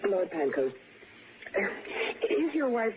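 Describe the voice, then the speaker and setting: A woman's voice: medium pitch, very animated, slow. A woman playing a firm, indignant, anxious mother in 1960s radio drama style. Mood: tense, probing. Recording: noisy, muffled old radio broadcast.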